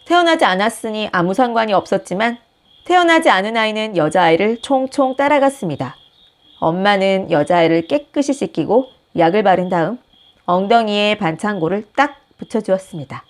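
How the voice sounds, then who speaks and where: A woman host reading a picture book aloud with gentle, expressive storytelling. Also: medium pitch, fairly quick. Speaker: storybook reader, channel host.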